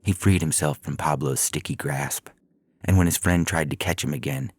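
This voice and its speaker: A man's voice: low-pitched, very animated, normal speed, gravelly, husky. A man narrating a scripted science fiction story as a podcast host, reading in an audiobook style.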